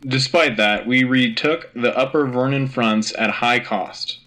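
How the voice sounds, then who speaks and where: A man playing an earnest, patriotic radio anchor reading military news in a crisp, urgent, official manner. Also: medium pitch, unhurried, bright, forceful tone. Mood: grave, resolute. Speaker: radio host. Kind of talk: scripted military news bulletin.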